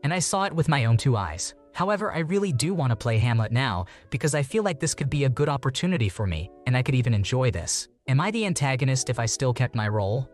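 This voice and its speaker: A man reading forum stories aloud as a scripted narration and adding short comments of his own, in a brisk, even reading voice. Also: mid-range voice. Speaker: narrator reading online posts. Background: background music.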